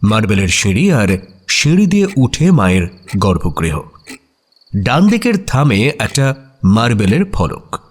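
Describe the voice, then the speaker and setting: Animated, observant mystery narrator. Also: male voice, lowish voice, husky, heavily acted. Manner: expressive, suspenseful storytelling. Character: male detective's companion narrating a mystery, observant and engaged. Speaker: story narrator. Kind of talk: dramatic scripted audio story narration.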